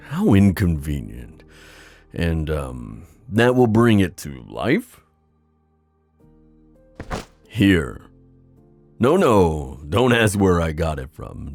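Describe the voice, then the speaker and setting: A man playing a wistful, theatrical sea god in an ASMR fantasy roleplay, musing with hesitant pauses and drawn-out phrasing. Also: low-pitched, creaky, darkish tone, full character voice. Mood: curious.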